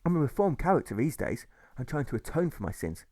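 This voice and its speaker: Man voicing a smooth-talking scheming owl. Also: mid-range voice, big pitch swings, quick pace, a little mellow, dry recording, clean studio sound, pitched above the performer's own voice. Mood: pleading innocence. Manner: persuasive, gloating. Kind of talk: audiobook animal fable.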